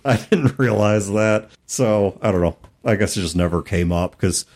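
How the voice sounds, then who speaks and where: A man's voice: on the low side, normal speed, darkish tone. A man hosting a podcast, talking casually and reflectively in a self-deprecating way. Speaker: podcast host. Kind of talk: casual tabletop gaming podcast talk.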